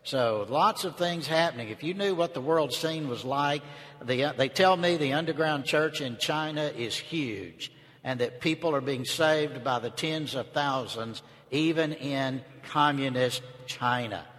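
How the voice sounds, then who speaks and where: A man's voice: medium pitch, measured pace, a bit bright. An older man from North Georgia with a Southern US accent, speaking to a congregation as a visiting preacher in a folksy, conversational way. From North Georgia, United States. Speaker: visiting preacher, seminary teacher. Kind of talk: sermon, informal opening remarks to a congregation. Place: large indoor church space. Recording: reverberant church hall.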